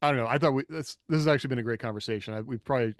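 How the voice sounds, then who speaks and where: A man's voice: medium pitch, very fast, no echo. Chuckling man chatting loosely, dry close sound. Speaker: podcast co-host and writer. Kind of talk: casual rambling panel discussion.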